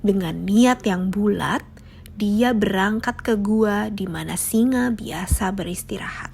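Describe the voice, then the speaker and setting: A woman's voice: mid-range voice, measured pace, vocal fry, a little mellow, slight background noise. A woman narrating a scripted children's fable as a storyteller.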